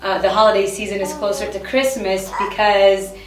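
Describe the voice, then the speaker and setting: Woman, from Israel, 30s, halting casual talk, roomy echo, distant mic. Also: medium pitch, little pitch movement, faint music behind. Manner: halting, with many fillers and restarts. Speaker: guest. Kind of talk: casual interview chat. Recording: echoey room, far from the mic.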